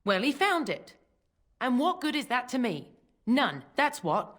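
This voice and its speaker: Bitter, high-voiced poor commoner woman. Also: big pitch swings, unhurried, heavily acted. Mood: angry, demanding. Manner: scornful, sharp-tongued, uneducated rustic grammar. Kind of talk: fantasy video game acting.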